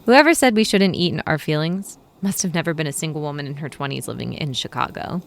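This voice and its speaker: A woman's voice: low-pitched, expressive, quick pace, creaky. A woman voicing a guarded, wry young woman in her twenties. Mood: defensive.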